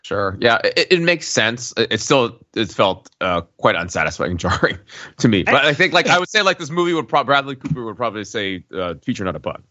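A man's voice: medium pitch, big pitch swings, a little fast, sharp tone, dry recording, dull audio. A man on a podcast panel, talking casually about a film. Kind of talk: casual discussion.

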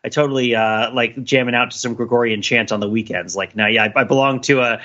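A man's voice: medium pitch, steady tone, fast talker, pushed and bright. A man in a casual podcast discussion, rambling and interrupting himself as he thinks aloud, acting out imagined quotes. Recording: slightly dull, lo-fi audio.

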